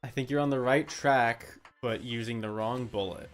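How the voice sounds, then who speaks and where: A man's voice: medium pitch, moderate pace, background noise. A man in casual banter, guiding another player through a game.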